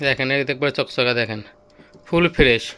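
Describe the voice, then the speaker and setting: A man's voice: mid-range voice, brisk, sharp tone, a little hiss or hum. A man presenting goods for sale in an informal demonstration video, with repetitive patter that keeps urging viewers to look. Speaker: seller presenting used car stereo gear.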